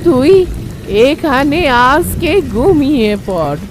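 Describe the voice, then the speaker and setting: A woman's voice: high-pitched, big pitch swings, slow, a little mellow, slight background noise, exaggerated voice. A woman voicing a kindly, caring old grandmother who runs a tea stall, in a cartoon story. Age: older. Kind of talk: Bengali cartoon story acting.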